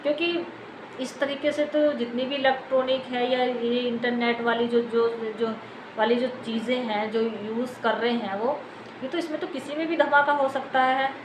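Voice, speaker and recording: A woman's voice: a little high, fairly even intonation, moderate pace. A woman with an Indian accent giving unscripted news commentary, explaining things to viewers in a wordy, repetitive way. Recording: echoey room, clear background noise.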